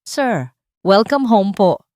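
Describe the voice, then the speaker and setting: A woman voicing a shy, tired young nanny who speaks softly and politely. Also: mid-range voice, big pitch swings, slow, dry recording, studio quality, pitched above the performer's own voice. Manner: soft-spoken, deferential.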